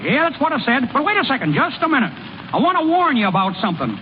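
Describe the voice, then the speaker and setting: A man's voice: very high-pitched, very animated. An actor playing a plainspoken small-town American ballplayer and delivery man in old-time radio style, with a folksy, slangy way of talking. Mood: excited, cocky. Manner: folksy, slangy, halting when shy. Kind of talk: 1930s-40s American radio acting. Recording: muffled, noisy old radio broadcast.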